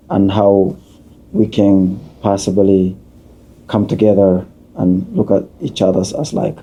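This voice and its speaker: A man, a community leader answering questions as a podcast guest in an unhurried, halting way. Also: on the low side, dark tone, muffled recording. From Somalia, raised in Kenya. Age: 30s. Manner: unhurried, halting, with many uh fillers and restarts.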